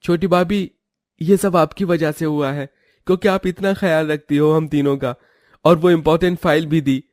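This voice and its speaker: A man's voice: fairly high pitch, steady tone, moderate pace, darkish tone, no room sound, studio quality, close to an everyday voice. A man voicing an affectionate, eager young brother-in-law in a cartoon, earnest and caring. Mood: excited, grateful.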